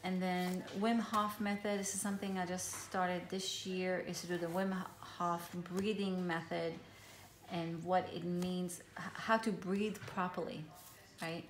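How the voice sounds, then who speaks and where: A woman whose first language is Hebrew, casually showing a handmade journal with a relaxed, rambling manner full of ums and restarts. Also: lowish voice, measured pace. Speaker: craft vlogger. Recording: echoey room with faint hiss.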